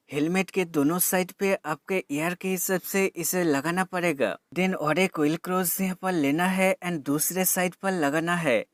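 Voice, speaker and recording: A man's voice: high voice, dry recording. A man giving a scripted product demo voice-over as a reviewer, explaining plainly step by step and mixing in English terms.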